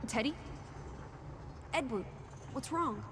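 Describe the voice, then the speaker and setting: A woman's voice: high-pitched, lively intonation, slow, background noise. An actress playing a sociable, cheeky young woman of the 1920s, theatrical and teasing, in a period drama video game. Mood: concerned. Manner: playful, teasing, old-fashioned slang.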